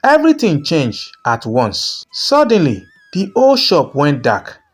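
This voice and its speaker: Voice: medium pitch, wildly swooping pitch, unhurried, slightly rough. A narrator telling a scripted folktale in a dramatic storytelling style.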